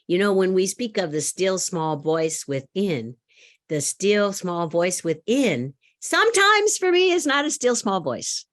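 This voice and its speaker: A middle-aged woman giving a sermon, loud and animated, laughing often and telling a story. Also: mid-range voice, a little slow. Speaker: speaker at a church service.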